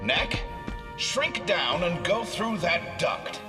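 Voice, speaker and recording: An actor playing a proud, showy scientist in a video game, presenting grandly like a lecturer. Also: male voice, high voice, big pitch swings, slow pace, full character voice. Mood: commanding, showy. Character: proud, showy male doctor and inventor, middle-aged or older. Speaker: scientist presenting his creation. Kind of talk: theatrical video game voice acting, presentation speech. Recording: somewhat distant, loud music behind.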